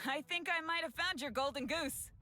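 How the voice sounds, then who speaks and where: A woman's voice: high voice, moderate pace, bright, forceful tone, soft background music, voice pushed up. Woman voicing an uneasy young male astronomer, hesitant, worried delivery.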